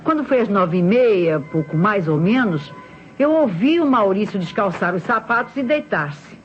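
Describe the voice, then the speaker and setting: Woman acting a chatty boarding-house landlady, Brazilian accent, medium pitch, emphatic, deferential, dull vintage radio recording. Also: lively intonation, normal speed, lightly acted. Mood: earnest, insistent. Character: obliging, chatty female boarding-house witness, certain of herself. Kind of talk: old radio drama acting. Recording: muffled vintage radio recording, music behind.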